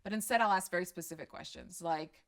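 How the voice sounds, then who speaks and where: A woman's voice: on the low side, fast talker, fairly bright tone. Chatty woman with a Croatian accent. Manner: chatty and candid, self-deprecating asides. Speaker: parenting host on a live stream. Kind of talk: casual chat, answering viewer questions.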